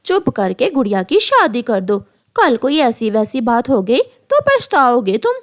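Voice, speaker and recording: A woman's voice: a little high, sing-song, unhurried. A woman voicing a meddling, gossipy female crow who scolds and accuses in a cartoon story. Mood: scolding.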